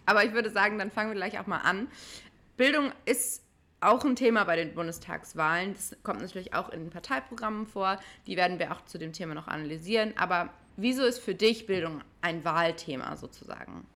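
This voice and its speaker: A young woman hosts an interview podcast in a friendly, upbeat way. She speaks casually and warmly to her guest, with easy greetings and quick reactions. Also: medium pitch. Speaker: podcast host.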